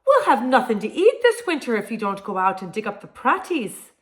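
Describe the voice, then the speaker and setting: A woman's voice: on the high side, huge pitch swings, fairly quick. A woman voicing a hardworking Irish wife scolding her lazy husband, in an Irish accent.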